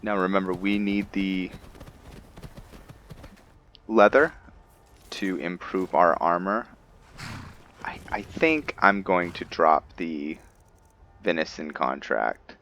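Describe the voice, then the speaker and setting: A man's voice: deep voice, slow pace, long pauses, fairly bright tone, slight background noise. A man giving casual gameplay commentary, thinking aloud hesitantly and chatting to his viewers. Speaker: host of a gameplay roleplay series. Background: background music.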